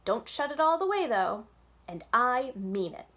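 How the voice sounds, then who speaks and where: A woman plays a little kindergarten girl from a storybook, bossy and naive. Her pitch jumps up high as she declares things with blunt, childish emphasis. She is chatty and dramatic. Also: big pitch swings, measured pace, a bit bright. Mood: excited, emphatic. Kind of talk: children's storybook read aloud in character.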